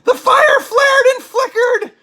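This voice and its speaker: A woman playing an excitable, easily sidetracked spooky storyteller for a comic puppet show, with exclamatory, drawn-out dramatic delivery. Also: very high voice, full character voice. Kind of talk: theatrical comic reading of a scary story.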